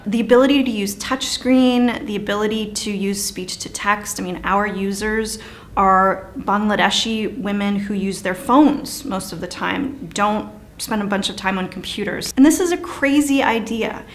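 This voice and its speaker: A woman's voice: medium pitch, normal speed. A woman, a company founder, giving documentary interview answers emphatically, posing rhetorical questions.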